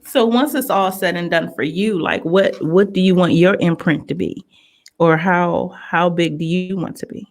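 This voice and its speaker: American female radio host. Lowish voice, casual conversational interviewing. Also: moderate pace.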